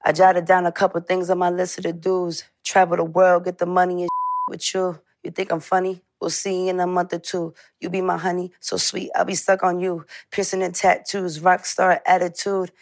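30 yr old lady rapper, gravelly and flat, laid back, dry sound. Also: lowish voice, moderate pace, no room sound.

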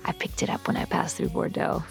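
A woman's voice: brisk, in a whisper, faint music behind, lightly acted. Actress as a reserved, evasive vampire woman. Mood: cool, casual. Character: cool, evasive, ageless female vampire, reserved friend. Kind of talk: audio drama acting.

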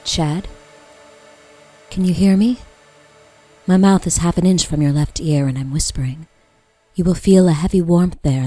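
An actress playing an eerie, faceless old woman who secretly haunts a home, speaking in an intimate, deadpan, scolding way for a fiction podcast. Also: low-pitched. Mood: creepy, intimate. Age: older.

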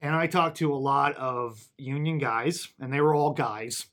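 A man's voice: mid-range voice, unhurried, a bit bright. A man hosting a podcast interview, conversational and chatty, laughing easily. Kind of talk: casual interview chat.

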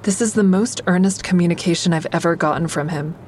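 A woman's voice: lowish voice, fast talker, a little mellow, slight background noise, close to an everyday voice. An actress playing a guarded, wry woman who narrates her troubled romance in an intimate, confiding audio drama style. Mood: concerned, introspective. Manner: confiding, dry, introspective.

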